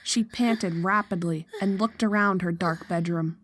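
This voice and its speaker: A woman's voice: on the low side, measured pace, a bit bright, a little hiss or hum. A woman narrator reading a scripted horror story.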